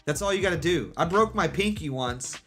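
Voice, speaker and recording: A man's voice: a little high, lively intonation, brisk, pushed and bright, faint music behind. A man streamer chatting casually with his viewers in a rambling, defiant way, with lots of "like" and restarts.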